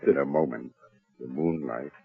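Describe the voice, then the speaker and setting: Sinister calm specter, bottomless bass, creaky, terse and ominous, vintage radio drama, narrow muffled sound. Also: male voice, moderate pace, no echo, soft background music, heavily acted. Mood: foreboding. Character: sinister ghostly stranger, calm, relentless, menacing. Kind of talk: old-time radio horror acting. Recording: muffled, narrow-band old radio recording.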